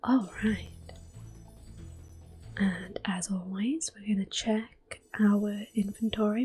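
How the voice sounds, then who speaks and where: Whispering woman doing soft ASMR game commentary. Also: mid-range voice, slightly rough. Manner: gentle, hushed, unhurried. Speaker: gameplay video host. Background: game music.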